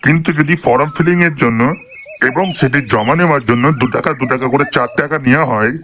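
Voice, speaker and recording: Cartoon village gang leader, male actor: scheming, bossy, sweary lecturer, average pitch. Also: a bit bright, a little hiss or hum, natural voice. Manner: hectoring, explanatory, swearing. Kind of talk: comic cartoon dialogue.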